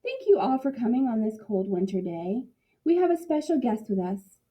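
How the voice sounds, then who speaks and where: A kind woodland animal from a storybook, as a woman reads it aloud. The high voice is welcoming and encouraging. The recording sounds a little dull. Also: very animated, normal speed. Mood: kind, interested. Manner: gentle, hospitable, encouraging.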